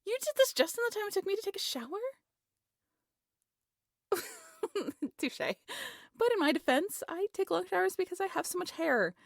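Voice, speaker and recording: A woman playing an affectionate, playful young woman prone to overthinking, in an intimate roleplay audio drama, with soft, teasing, expressive delivery. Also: sits high, no room sound, clean studio sound, full character voice.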